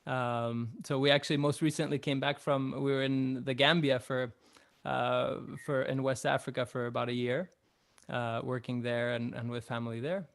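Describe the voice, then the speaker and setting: Young guy raised in chile, musician guest, easygoing and thoughtful, lots of ums, mid-pitched. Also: normal speed, creaky. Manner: relaxed and reflective, with many fillers. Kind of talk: casual interview answers.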